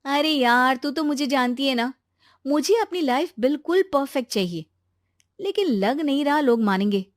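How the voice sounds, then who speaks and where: A woman's voice: high-pitched, lively intonation, normal speed, no room sound, studio quality, voice pushed up. A woman voicing a choosy, headstrong young bride-to-be in a story, chatty, eager and insistent. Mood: worried, curious.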